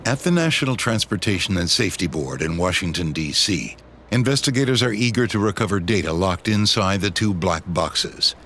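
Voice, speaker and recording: A man's voice: low voice, expressive, moderate pace, a bit bright, a little hiss or hum. A man reading scripted, dramatic documentary narration as the narrator. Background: dramatic music bed.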